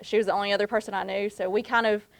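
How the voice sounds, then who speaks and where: A woman's voice: medium pitch, little pitch movement, rapid-fire, gravelly. A woman on a panel, talking hesitantly with many fillers and laughing at herself. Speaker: alumni panelist. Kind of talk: panel talk.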